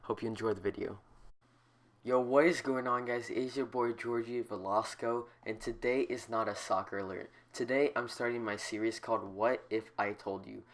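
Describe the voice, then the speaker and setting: A man's voice: mid-range voice, steady tone, normal speed. A congested man hosting a casual soccer talk show, explaining tactics and giving opinions at length. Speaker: host.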